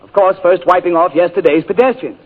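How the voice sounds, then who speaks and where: A man hosting a 1940s radio comedy show, trading quick, genial wisecracks. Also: high voice. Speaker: show host. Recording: tinny radio broadcast, live audience.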